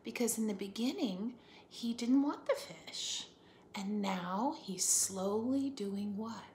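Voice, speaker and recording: A woman teacher reading a picture book aloud for children, expressively, pausing to put questions to her listeners. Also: medium pitch, animated, darkish tone. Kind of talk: animated read-aloud for children with asides and questions. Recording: echoey room, faint background noise.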